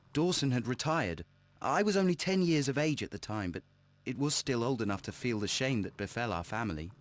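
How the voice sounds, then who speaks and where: A troubled young Victorian gentleman speaks with a British accent and strongly varied intonation. His mid-pitched voice is earnest and formal, defending family honour in dramatic game dialogue.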